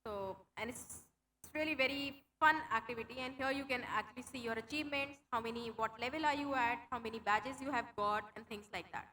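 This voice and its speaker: Bright high-voiced Indian English woman presenter. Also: fairly quick, a little hiss or hum, low-quality recording. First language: Hindi. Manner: explanatory, frequent fillers and restarts. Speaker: conference speaker. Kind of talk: tech talk with live demo. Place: conference hall.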